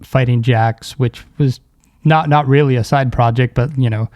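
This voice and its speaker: A man's voice: mid-range voice, steady tone, normal speed, a little mellow, dry recording. A man hosting a podcast, asking casual, conversational interview questions.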